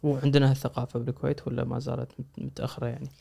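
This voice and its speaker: A man, hosting a studio podcast and asking short questions into a boom mic. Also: little pitch movement, rapid-fire, gravelly, dark tone. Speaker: podcast host. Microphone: boom-arm mic, headphones.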